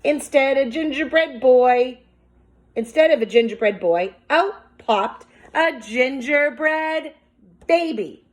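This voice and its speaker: A woman's voice: sits high, lively intonation, slow pace. A woman speaking as a teacher, reading a picture book aloud with commentary and prompting young listeners with questions as if to a class. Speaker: teacher demonstrating a children's read-aloud.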